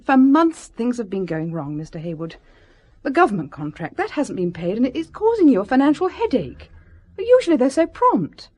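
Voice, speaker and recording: An actress in a radio drama playing an anxious, protective, sharp-tongued secretary who is prim, frank and disapproving. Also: female voice, fairly high pitch, big pitch swings, normal speed. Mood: frank, worried.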